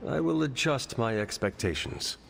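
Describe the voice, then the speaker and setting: An actor playing an earnest hero in a video game cutscene, speaking formally and stiffly without contractions. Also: male voice, mid-range voice, sing-song, normal speed, vocal fry, a bit bright, soft background music. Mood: dry.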